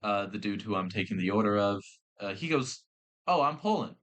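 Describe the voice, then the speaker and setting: A young man with a Southern accent who works in fast food, telling a work story in casual chat. He swears often, laughs a lot and acts out the people he quotes. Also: fairly low pitch, lively intonation, a bit bright. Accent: American Southern. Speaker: podcast co-host.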